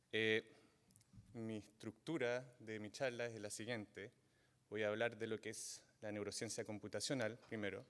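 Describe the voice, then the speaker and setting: A man, not from Chile, giving a university guest lecture, halting often with fillers and restarts. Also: fairly low pitch, a bit bright. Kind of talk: academic lecture. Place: lecture hall. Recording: long reverb of a lecture hall.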